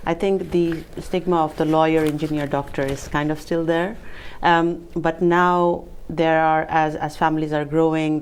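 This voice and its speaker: A woman from Bangladesh discussing things as a panel guest in a low, flat voice with plenty of creak. Her phrasing is thoughtful and a bit hesitant, with faint noise behind her. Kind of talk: measured conversational discussion.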